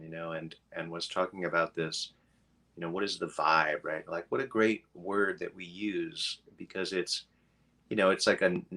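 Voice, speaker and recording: A man's voice: deep voice, a bit bright. A man teaching meditation in a casual, rambling way, full of fillers and easy laughter.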